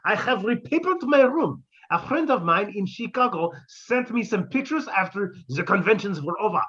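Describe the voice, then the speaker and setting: A woman's voice: medium pitch, animated, moderate pace, a bit bright, slightly rough, highs cut off. A woman playing an eccentric old male professor neighbor in a 1950s-style radio comedy, with a florid, pompous, theatrical delivery. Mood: grand, fussy.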